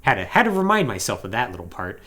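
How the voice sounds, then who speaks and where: A man's voice: lowish voice, fast talker. A man hosting a podcast, giving a casual, conversational recap of a story. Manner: animated, conversational, acting out paraphrased dialogue. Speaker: podcast host.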